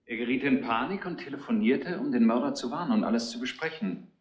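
An actor playing a methodical, matter-of-fact detective's assistant reasoning through a case in a retro crime radio play. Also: male voice, medium pitch, quick pace, dull audio, natural voice. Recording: roomy, faintly noisy retro radio play.

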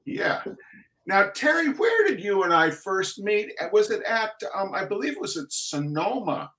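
A man's voice: high-pitched, very animated, a little slow, sharp tone. Exuberant showman host on a video call. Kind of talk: lively video-call interview. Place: home studio room, indoors.

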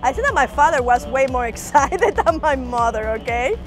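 A woman talks in a high, clear voice, explaining things and checking in with the listener. She is interviewed outdoors on a clip mic. Music plays clearly behind her. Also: animated, normal speed. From Latin America. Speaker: program manager. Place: outdoors at a racetrack.

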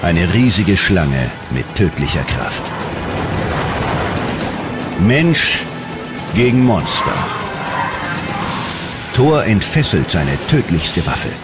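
Male documentary narrator, low voice, lively dramatic delivery, loud music underneath. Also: extremely slow, long pauses. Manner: dramatic, emphatic.

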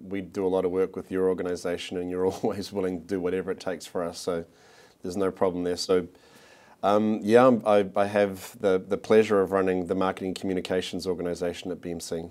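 Deep-voiced New Zealand businessman. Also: flat delivery, fairly quick, a little mellow. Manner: hesitant, many restarts and fillers. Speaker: marketing executive. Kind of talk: corporate interview. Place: office studio set.

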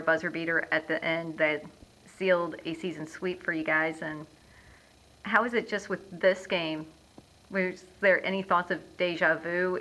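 A woman's voice: on the low side, bright, forceful tone, poor audio. A woman who puts upbeat, leading questions to a coach and players at a post-game press conference. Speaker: team media interviewer.

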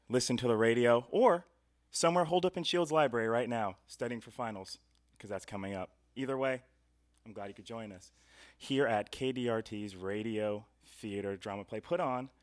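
A man's voice: mid-range voice, dry recording. Young man hosting, dry close sound. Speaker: host. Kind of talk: radio show introduction. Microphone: stand microphone in studio.

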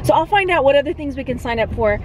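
High-voiced woman chatting on a vlog. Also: animated, fast talker. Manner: chatty, informal. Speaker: vlog host. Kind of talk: casual vlog chat with a friend. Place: inside a car, outdoors, and at a restaurant patio. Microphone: camera at arm's length. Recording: noisy car and outdoor handheld camera audio.

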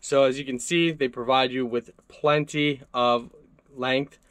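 Regular guy chatting through a gadget review at his desk, middle pitch, laid back. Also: a little slow, background noise. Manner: relaxed, conversational walkthrough with fillers. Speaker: product reviewer. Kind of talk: casual product review. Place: indoor desk workspace.

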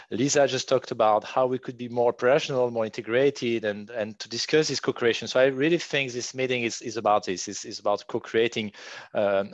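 A man in his forties with a strong French accent, moderating an online workshop over a headset on a video call, halting with many fillers and restarts. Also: mid-range voice, dry recording. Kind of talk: online meeting facilitation. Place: home room, virtual background. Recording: dull, dry video call audio.

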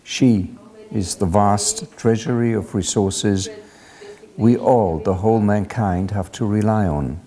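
An older man giving a deliberate, solemn formal speech as an academic at a lectern. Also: on the low side, mellow. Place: lecture hall at a lectern.

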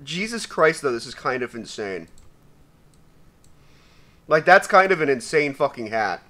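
A young man with a raspy voice giving casual, profane commentary while opening loot, swinging between mumbling and shouting. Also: mid-range voice, big pitch swings, unhurried. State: raspy from sneezing. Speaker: gaming YouTuber.

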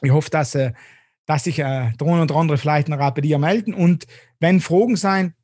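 A man in his thirties with a South Tyrolean German accent, hosting an interview over a video call from a small dim room, talking haltingly with many restarts. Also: fairly high pitch, darkish tone. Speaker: host. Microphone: video call webcam.

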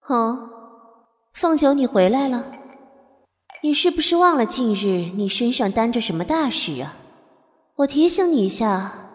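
A knowing immortal aunt in a costume fantasy audio drama. She teases in a fairly high, swooping voice with long reverb around it. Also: very animated, a little slow, long pauses. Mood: teasing reminder.

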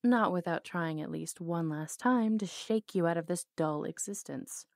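A woman's voice: fairly low pitch, normal speed, vocal fry, darkish tone, no echo, studio quality. A woman playing a bold, lovelorn outlaw cowgirl in an intimate ASMR roleplay, sardonic and flirtatious, drawing words out. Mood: urgent, pleading.

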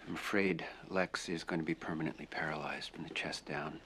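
An actor playing a hospital doctor who delivers bad news in a calm, grave manner. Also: male voice, extremely low pitch, brisk, a little hiss or hum, exaggerated voice. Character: measured male hospital doctor. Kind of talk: TV drama acting.

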